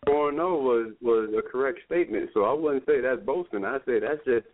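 A man boasts playfully in loose sports banter. His voice is mid-pitched. The sound is thin and phone-like. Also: fairly quick. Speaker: show regular. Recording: tinny phone-like line.

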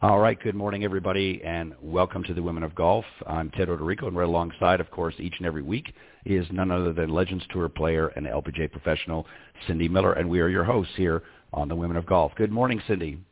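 A man hosting a casual radio talk show, hoarse and gravelly today, friendly with many fillers. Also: sits low, fairly even intonation, fast talker. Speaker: show host. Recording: tinny phone-line audio.

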